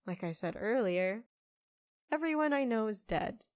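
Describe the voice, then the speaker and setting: A woman's voice: a little high, animated, unhurried, no room sound. A woman playing a guarded, world-weary young person in an audio drama, dry, curt and defensive.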